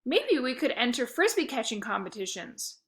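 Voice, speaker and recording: A woman voicing an eager, scheming schoolboy who runs a dog-walking business and yells after runaway dogs. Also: a little high, normal speed. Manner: pushy, excitable, yelling at dogs.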